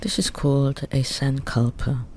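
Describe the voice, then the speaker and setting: A meditation guide speaks very slowly in a mellow, relaxed voice, leaving long pauses between short phrases. The delivery is gentle and soothing, leading a restful body relaxation, with ocean waves washing softly behind the voice. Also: medium pitch. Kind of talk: guided Yoga Nidra meditation narration. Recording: steady ambient noise under the voice.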